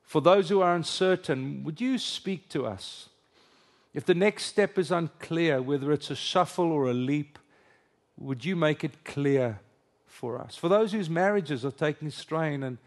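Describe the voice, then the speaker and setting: A middle-aged man with an Afrikaans accent, whose first language is Afrikaans, gives a conference sermon and leads a prayer, joking and then earnest. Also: a little high. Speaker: preacher.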